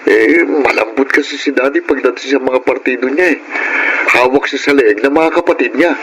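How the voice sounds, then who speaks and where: A young Filipino man acting a calm older brother in a radio drama. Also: mid-range voice, normal speed, over loud music, lightly acted, poor audio. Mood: calm, wry. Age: young adult.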